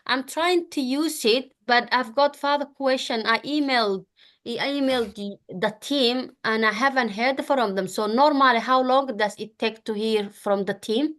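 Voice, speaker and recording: A woman's voice: fairly high pitch, a bit bright. A woman student on a video call asking a question politely and earnestly. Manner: polite, earnest, a little halting. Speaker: student in the class.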